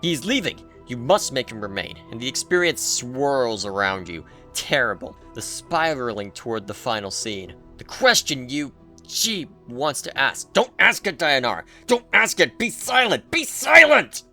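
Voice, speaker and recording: A man giving an intense, theatrical scripted narration of video game writing, with deliberate pauses. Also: medium pitch, very animated, bright, forceful tone, soft background music.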